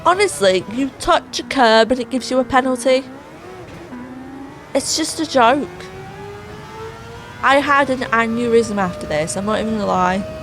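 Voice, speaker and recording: Slow, sarcastic woman losing her voice. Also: a little high, a bit bright, slight background noise. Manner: sarcastic, exasperated. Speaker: gaming channel host. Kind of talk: racing game voice-over commentary. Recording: voice over clear background music.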